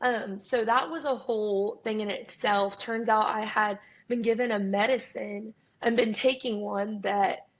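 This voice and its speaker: A young woman in her twenties with a raspy voice, chatting candidly and openly as a guest musician in an interview, often breaking into laughter. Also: medium pitch, fairly even intonation, measured pace, few pauses. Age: 20s. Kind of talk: casual interview chat. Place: Nashville.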